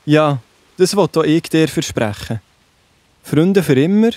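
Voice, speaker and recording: A man's voice: fairly high pitch, lively intonation, unhurried, a little mellow, no room sound. A man with a Swiss German accent playing a worried, loyal young prince in a children's Bible audio drama, speaking earnestly. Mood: devoted.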